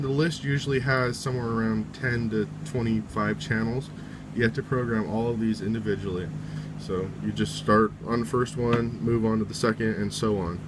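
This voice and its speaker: A man's voice: fairly low pitch, background noise. A man giving a casual, step-by-step how-to in an informal way, often reiterating each step. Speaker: tutorial presenter.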